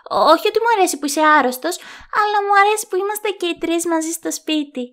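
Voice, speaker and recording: Woman voicing a very high-pitched, affectionate little girl. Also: expressive, a bit bright. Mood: happy, affectionate. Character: affectionate, cheerful young girl, a little sister. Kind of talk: audio drama acting.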